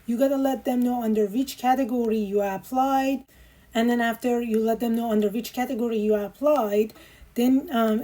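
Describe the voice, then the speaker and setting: A woman's voice: fairly high pitch, warm tone. A woman explaining step by step how to fill in an online immigration form, with frequent ums and patient instructions. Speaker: immigration tutorial host. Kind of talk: screen walkthrough tutorial.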